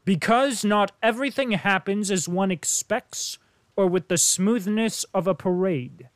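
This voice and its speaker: A man doing an earnest young officer in an audiobook, measured and a little frustrated. The voice is high and the pace slow. The sound is dry. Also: no room sound.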